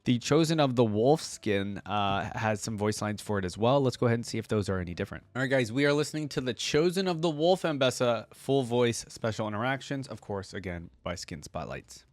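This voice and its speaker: A man's voice: mid-range voice, expressive, brisk, few pauses, dry recording. A man hosting a reaction video, commenting casually and informally to viewers with slangy asides as he thinks aloud.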